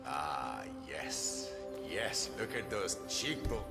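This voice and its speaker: Vain, theatrical Indian celebrity, echoing hall. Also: male voice, low-pitched, very animated, drawn-out words, gravelly, heavily acted. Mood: vain, self-admiring. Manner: pompous, theatrical, condescending. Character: vain, pompous, self-admiring Bollywood-style celebrity man. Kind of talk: video game cutscene acting. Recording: long reverberant hall, music behind.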